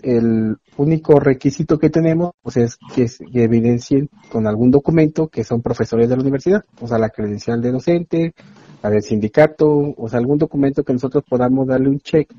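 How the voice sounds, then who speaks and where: A man's voice: mid-range voice, mellow, no room sound. A man, a university expert guest earnestly explaining things at length in an interview. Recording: dull lo-fi dry sound.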